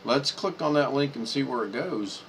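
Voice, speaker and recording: A man's voice: mid-range voice, animated, normal speed, fairly bright tone, a little hiss or hum. A man hosting a casual video chat, talking easily while he works through the screen. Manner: easygoing, talks while working the screen.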